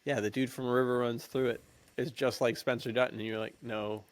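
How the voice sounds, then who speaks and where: A man's voice: medium pitch, level tone, fairly quick, smooth, dry recording. A man co-hosting a podcast, chatting casually and informally about films in an easygoing, appreciative way. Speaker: podcast co-host. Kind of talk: casual film discussion chat.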